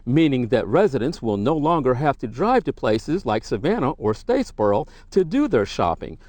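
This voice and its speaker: Man, local news reporter, scripted broadcast delivery, very animated intonation, medium pitch. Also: normal speed, dry recording.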